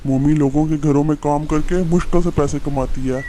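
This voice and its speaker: A man's voice: a little high, fairly even intonation, fairly quick, dark tone, slight background noise, natural voice. A man voicing an elderly, fretful bird father in a cartoon, admonishing in a stern way. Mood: scolding.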